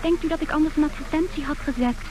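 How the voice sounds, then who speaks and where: Actress as high-voiced headstrong young heiress. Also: female voice, quick pace, mellow, close to an everyday voice. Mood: insistent, indignant. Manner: insistent, theatrical, imperious. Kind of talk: old Dutch radio comedy acting. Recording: old radio play, music behind.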